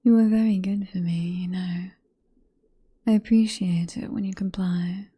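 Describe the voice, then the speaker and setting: A woman performs as a seductive British vampire professor in an ASMR roleplay. She speaks in a very breathy whisper with a dark, mellow tone. Her delivery is slow and coaxing, possessive and hypnotic, with a teasing, condescending fondness. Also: mid-range voice, heavily acted. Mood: tender, possessive.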